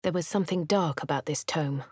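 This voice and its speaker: An actress voicing a posh female adventurer with an English accent, speaking in a breathy, often half-whispered way. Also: low-pitched, fairly quick, a little mellow, no room sound. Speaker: voice actor for a player character. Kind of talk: video game voice lines. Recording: dry studio booth.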